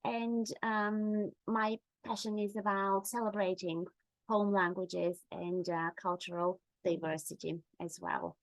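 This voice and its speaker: A woman's voice: medium pitch, level tone, slow, vocal fry, no room sound, rough recording. A middle-aged woman whose first language is Bulgarian, presenting an online webinar in a friendly way with many ums and uhs. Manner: friendly, with frequent fillers.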